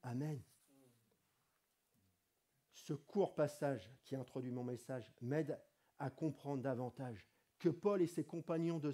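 A middle-aged man with a cold-hoarse voice preaching in a conversational way from a lectern in a church hall. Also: a little high, mellow. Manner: conversational preaching, reads scripture with rising emphasis. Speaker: pastor. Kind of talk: sermon. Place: church hall with wooden wall, indoors.

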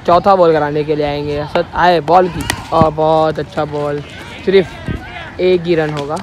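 A man's voice: on the high side, level tone, a little slow, noisy background. A man giving ball-by-ball commentary on an amateur street cricket match, calling each delivery in a repetitive set pattern, recorded outdoors on a phone.

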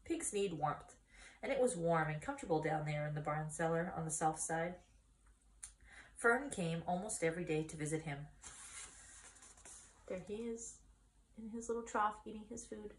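A woman in her thirties reading a children's story aloud in a deliberate, expressive manner. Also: fairly low pitch, roomy sound, a little hiss or hum. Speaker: story reader. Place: small indoor room against a bare wall.

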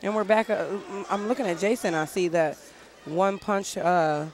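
A man's voice: sits high, moderate pace. A man doing live boxing commentary from ringside in an indoor arena. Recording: loud arena crowd behind voice.

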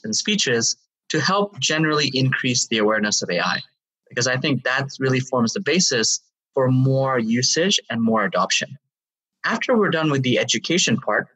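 A man in his thirties from Hong Kong, a guest explaining his consulting work in a measured way with some fillers. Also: mid-range voice, pushed and bright, slightly rough, recorded from a distance, poor audio. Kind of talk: interview answers.

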